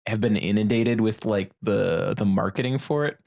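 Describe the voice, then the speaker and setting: A man co-hosting a podcast in casual film-discussion chat, animated and rambling, peppering his talk with "like". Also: on the low side, normal speed, gravelly. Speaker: podcast co-host.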